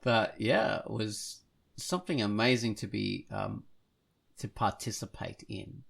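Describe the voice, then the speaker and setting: Creaky-voiced enthusiastic podcast host. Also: medium pitch, unhurried. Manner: enthusiastic, effusive. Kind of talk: casual podcast chat.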